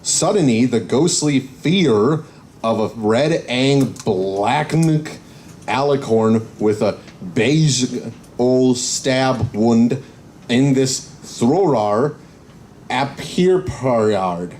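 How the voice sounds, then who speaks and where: A man's voice: medium pitch, animated, very slow, darkish tone, slight background noise. A man on a live panel reading a story aloud, stumbling over words. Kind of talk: halting live read-aloud of comic fan fiction. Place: convention panel room.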